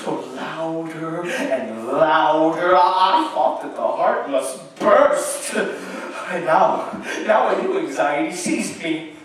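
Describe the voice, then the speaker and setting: Distant, echoey stage horror. frantic, gleeful madman killer, slow and stammering. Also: fairly high pitch, a bit bright. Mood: hushed terror, then frenzied. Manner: halting, stammering repetitions, sudden outbursts and nervous chuckles. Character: unhinged nervous murderer narrating his gothic crime, gleeful and frantic. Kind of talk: theatrical dramatic reading of gothic horror. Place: live show at an amusement park. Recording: echoey hall from far off, some noise.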